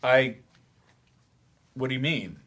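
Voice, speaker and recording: A man's voice: mid-range voice, slow, fairly bright tone, a little hiss or hum. A male actor in a staged play reading, playing a frustrated, defensive therapy patient who talks in a hesitant, grudging way. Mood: aggrieved, defensive. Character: defensive, frustrated man in therapy, once-promising writer turned teacher.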